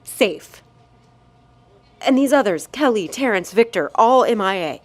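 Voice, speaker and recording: A woman's voice: mid-range voice, animated, measured pace, dry recording. An actress playing an anxious, insistent woman medical researcher in an apocalyptic audio drama, speaking with urgent emotion. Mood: indignant, concerned.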